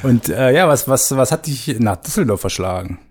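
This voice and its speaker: A man's voice: medium pitch, animated, normal speed, breathy edge. A man hosting a small radio show, asking questions in a chatty, joking way with frequent restarts. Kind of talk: casual interview.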